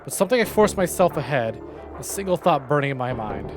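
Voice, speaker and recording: A male streamer reading a visual novel aloud with dramatic, expressive narration. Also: fairly high pitch, fairly quick. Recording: game music playing behind.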